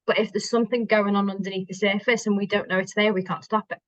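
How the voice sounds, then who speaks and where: A woman's voice: medium pitch, steady tone, fast, no room sound. A middle-aged woman from Liverpool with a Liverpool accent, speaking as a guest body worker in a casual chat and often restarting her sentences. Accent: Liverpool, softened by years in Scotland. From Liverpool, England. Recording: dry, dull lo-fi sound.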